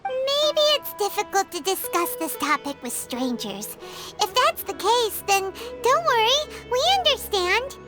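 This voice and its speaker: A woman voicing a tiny childlike flying fairy companion in a video game, squeaky, chatty and inquisitive. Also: sing-song, measured pace, exaggerated voice. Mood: considerate. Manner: chatty, inquisitive, refers to self by name. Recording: music behind the voice.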